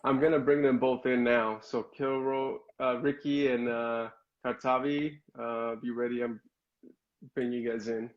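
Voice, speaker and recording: Flat-voiced man hosting a live stream. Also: medium pitch, lo-fi sound. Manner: even-toned, halting with fillers. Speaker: host.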